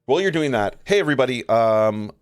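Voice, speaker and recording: A man's voice: mid-range voice, lively intonation, fast, clear, resonant tone, no room sound. A man hosting a livestream in casual chat, rambling and joking as he goes. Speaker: livestream host.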